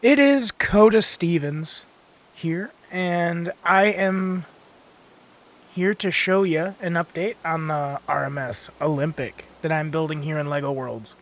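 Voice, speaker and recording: Young male host, a bit high, gravelly and hoarse, sleepy and casual, swears and fixes his slips. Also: slow, a bit bright. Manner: stumbles and corrects own words. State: tired, just woke up. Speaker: channel host touring a build. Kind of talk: casual gameplay tour narration. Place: bedroom at home.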